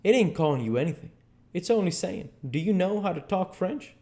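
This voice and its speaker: An earnest country boy from a storybook, played by a man, speaks with a Southern American accent in a raised, lilting pitch. He is a know-it-all, persuasive and full of questions. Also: expressive, fairly quick, gravelly, mellow. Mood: correcting. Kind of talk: audiobook character dialogue.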